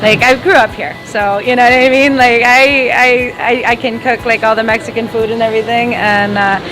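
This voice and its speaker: A woman in her thirties talks easily and casually, her speech full of "like" and "you know". Her voice is a little high, and music plays clearly behind her. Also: steady tone, moderate pace, fairly bright tone, rough recording. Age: 30s. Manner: chatty, lots of "like" and "you know". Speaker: interviewee, business owner. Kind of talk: casual chat.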